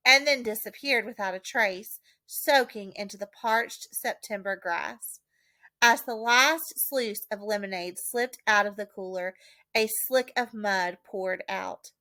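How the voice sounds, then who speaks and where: Woman, storybook narration, highish lively pitch, dramatic emphasis, dry close sound. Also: no room sound. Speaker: audiobook reader, teacher. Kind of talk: expressive children's storybook narration.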